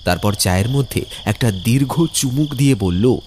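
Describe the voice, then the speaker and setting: Male narrator, dramatic scripted storytelling, mid-pitched with lively intonation. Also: moderate pace, a little hiss or hum.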